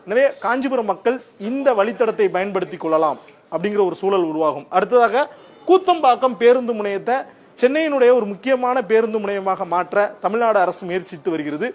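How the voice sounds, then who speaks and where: High-pitched male news reporter. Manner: semi-formal, explanatory, listing points step by step. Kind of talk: explanatory news report over graphics.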